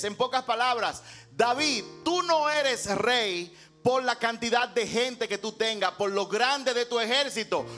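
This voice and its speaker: High-voiced male preacher over music. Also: pushed and bright. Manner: emphatic, rhetorical, dramatizing dialogue from scripture. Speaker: pastor. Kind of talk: sermon preached to a congregation.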